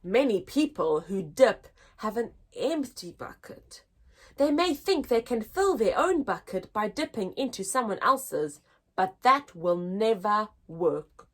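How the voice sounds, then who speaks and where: A woman hosting a children's story time, reading a picture book aloud in an expressive, deliberate, teacher-like way for young listeners. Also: mid-range voice.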